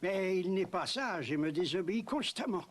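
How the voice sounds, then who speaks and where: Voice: medium pitch, lively intonation, moderate pace, slight background noise, lightly acted. An actor voicing a doting, anxious old carpenter father in a children's cartoon, fretful and full of exclamations. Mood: fond, earnest. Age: older. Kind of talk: dubbed children's cartoon acting.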